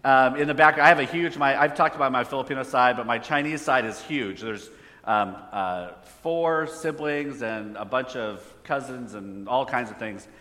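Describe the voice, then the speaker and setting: A man's voice: mid-range voice, normal speed, sharp tone. A man preaching a conversational sermon, asking the audience questions. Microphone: phone hotspot for slides. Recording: echoey hall reverb.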